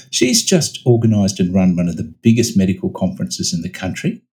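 A middle-aged man hosting a medical podcast, opening with a measured scripted intro and then asking friendly questions. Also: low-pitched, darkish tone. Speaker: podcast host, surgeon. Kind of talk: podcast interview.

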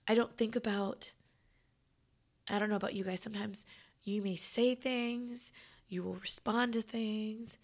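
A woman podcast host with a hoarse, raspy voice she has partly lost, talking in a halting half-whisper in a casual, reflective monologue. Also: mid-range voice. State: hoarse, losing her voice.

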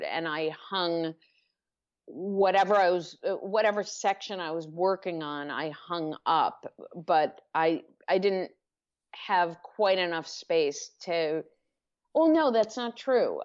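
A woman author answers interview questions at an unhurried pace, stopping to correct herself as she thinks. Her voice sits a little low. The recording is dull and lo-fi. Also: lively intonation. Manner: thoughtful, halting, self-correcting. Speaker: author guest. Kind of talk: podcast interview.

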